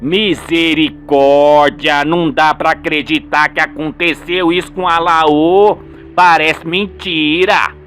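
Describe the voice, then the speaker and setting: A man's voice: a little high, level tone, slow, a bit bright, a little hiss or hum. A man voicing an earnest, devout middle-aged family man with a folksy, dramatic manner. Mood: shocked. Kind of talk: radio soap acting.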